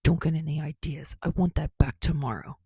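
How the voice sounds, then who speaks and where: A woman voicing a gruff, grumpy, foul-mouthed young man who scolds and growls in an audiobook story. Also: sits low, a little fast, warm tone, no room sound, studio quality, heavily acted. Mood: gruff, embarrassed. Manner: scolding, growling, muttering curses.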